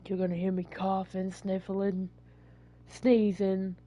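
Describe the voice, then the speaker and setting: A woman's voice: medium pitch, flat delivery, takes it slowly, mellow. A woman with a bad cold, talking in a rambling, apologetic way as she introduces a video game. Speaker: gaming YouTuber. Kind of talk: casual let's-play intro. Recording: dull, lo-fi home recording.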